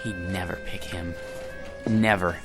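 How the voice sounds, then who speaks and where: An actor playing a meek, hapless husband in a radio drama, hesitant and stammering when flustered. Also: male voice, deep voice, steady tone, unhurried. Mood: wistful. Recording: music behind the voice.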